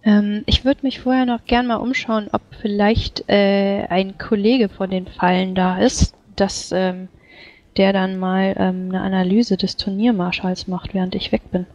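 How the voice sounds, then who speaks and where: A woman speaks in character during a tabletop role-playing game. Her tone is brisk and matter-of-fact, with some filler sounds. Her voice comes through a dull, lo-fi microphone. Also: mid-range voice, flat delivery, a little mellow. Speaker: player.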